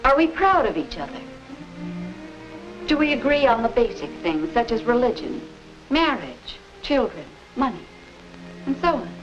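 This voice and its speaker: A gentle, wise American mother in a 1950s educational film. Her voice is fairly high and moves melodically as she gives measured advice. Music plays clearly behind a dull vintage soundtrack. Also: big pitch swings. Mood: gentle, instructive. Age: middle-aged. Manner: measured, instructive. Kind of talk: 1950 educational film acting.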